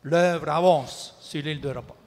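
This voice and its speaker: A man interpreting a sermon in a church, measured and sometimes hesitant. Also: fairly high pitch, a little mellow, big-room sound. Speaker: pastor and interpreter. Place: church hall.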